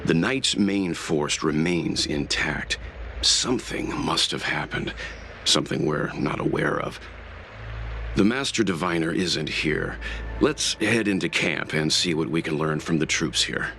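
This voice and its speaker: A man playing a grave, analytical traveler in a fantasy game, speaking in a measured, formal way. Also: extremely low pitch, animated, pushed and bright, a little hiss or hum, full character voice. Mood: grave, pondering. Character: grave, analytical adult male traveler, stoic and deliberate. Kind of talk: scripted game dialogue.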